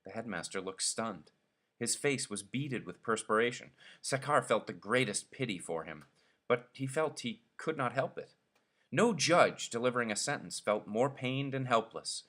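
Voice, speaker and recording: A man's voice: mid-range voice, lively intonation, normal speed, fairly bright tone. A man narrating a short story expressively, as a reader telling it aloud.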